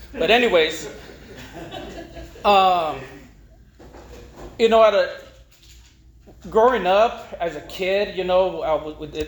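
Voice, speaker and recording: A middle-aged man gives an informal personal testimony, rambling and haltingly repeating words. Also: on the high side. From East Los Angeles, United States. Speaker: speaker at a recovery meeting. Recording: echoey room with faint noise.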